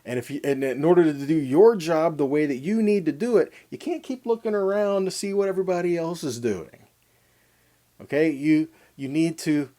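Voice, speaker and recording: A man teaches from scripture in a soft-edged, mellow voice. His pitch moves up and down in an animated way. He explains step by step, asking questions and then answering them himself. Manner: didactic, asks and answers own questions, checks in with "okay". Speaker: teacher of a weekly Torah portion.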